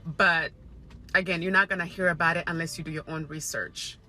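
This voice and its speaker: A woman from Congo speaking earnestly to camera in a personal video, hesitant and weary, with many pauses. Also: fairly low pitch, steady tone, bright, forceful tone.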